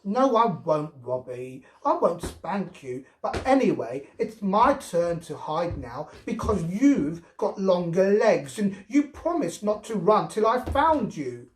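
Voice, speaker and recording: A man voicing a bossy, playful eight-year-old girl in a storybook, shrill and squealing, with childish, demanding exclamations. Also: sing-song, takes it slowly, few pauses, reverberant, exaggerated voice, low-quality recording. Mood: playfully bossy. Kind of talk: storybook character voice.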